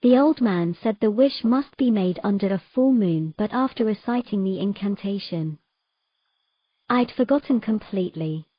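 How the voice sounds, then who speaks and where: A woman playing a patient, gently commanding mermaid in a romantic fantasy audio drama, giving measured, encouraging instructions. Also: mid-range voice, lively intonation, no echo, dull audio, natural voice. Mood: realizing.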